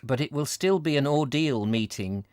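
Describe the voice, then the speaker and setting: A man's voice: mid-range voice, lively intonation, normal speed, a little mellow, no room sound, studio quality, natural voice. A man voicing a timid, pleading young woman from a romantic letter in a storybook, with fluttery, dramatic earnestness. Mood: anxious pleading.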